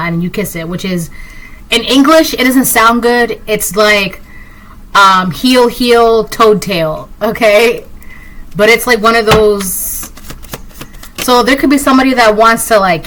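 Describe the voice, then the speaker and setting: Woman, Spanish native speaker from Puerto Rico, casual rambling tarot reader; bright tone, light hiss on the track. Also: mid-range voice, poor audio. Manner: rambling, many fillers and restarts. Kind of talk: casual intuitive reading to camera.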